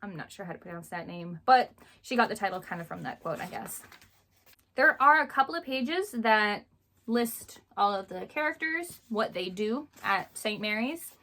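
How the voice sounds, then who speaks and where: A woman's voice: mid-range voice, animated, moderate pace, bright, forceful tone. A young woman in casual book-review chat, chatty and rambling, sounding a little stuffy because she is under the weather. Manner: chatty, rambling, laughs often. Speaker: book vlogger.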